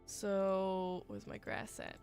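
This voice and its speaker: A woman livestreaming as she builds a game, talking in a chatty, rambling way with lots of fillers, her voice a little hoarse from a cold. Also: mid-range voice, completely flat delivery, takes it slowly, a little mellow, faint music behind. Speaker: game streamer. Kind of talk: casual livestream chat while building.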